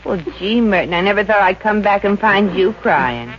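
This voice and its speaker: An actress playing a wisecracking, soft-hearted showgirl with slangy exclamations in a 1950s radio comedy. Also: medium pitch, expressive, normal speed, natural voice. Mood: sympathetic. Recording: dull, noisy old radio recording.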